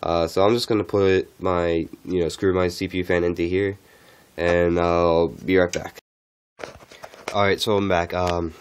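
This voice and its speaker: A man who is a little sick, talking through a casual how-to walkthrough in a halting, unscripted way. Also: low voice, a little hiss or hum. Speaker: tech tutorial host.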